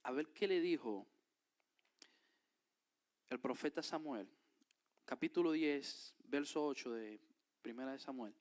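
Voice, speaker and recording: A man's voice: medium pitch, measured pace, long pauses. A man with a Dominican accent preaching a sermon, reading scripture aloud and then explaining it with emphatic rises. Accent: Dominican Spanish. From Dominican Republic.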